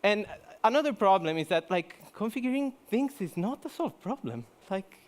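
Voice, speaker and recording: A man's voice: sits high, animated, moderate pace. A young man from Italy gives a joking, chatty conference talk, full of fillers and restarts. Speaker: conference speaker. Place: conference hall.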